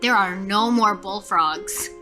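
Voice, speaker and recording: A woman's voice: mid-range voice, slow pace. A woman casually improvising narration as a player in a tabletop roleplaying game. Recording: music clearly playing behind.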